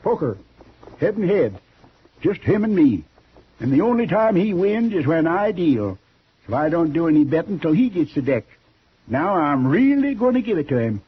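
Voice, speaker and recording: An actor playing a cocky, eager old cattle buyer with a raspy voice in a 1950s radio western. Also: male voice, on the high side, very animated, normal speed, exaggerated voice. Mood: boastful. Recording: muffled old radio recording.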